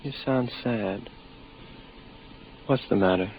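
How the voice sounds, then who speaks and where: Gravelly, exhausted spaceman doubting reality. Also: fairly low pitch. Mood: subdued, tender. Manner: halting, brooding, philosophical. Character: exhausted, delusional lone male space station officer, doubting reality. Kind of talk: 1950s radio drama acting. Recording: muffled, noisy old radio recording.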